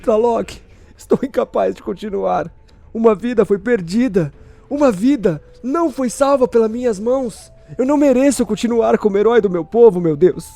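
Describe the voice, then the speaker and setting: A man's voice: high-pitched, normal speed, creaky, dark tone. A man playing an anguished, guilt-ridden hero in an audio drama, speaking with pleading emotion. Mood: despairing. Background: music.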